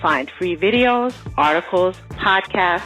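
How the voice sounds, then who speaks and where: A woman's voice: on the low side, animated, slow, fairly bright tone, faint music behind, low-quality recording. A woman from Maryland giving a short read testimonial as a supporter, friendly and plain. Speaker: listener supporter in an ad.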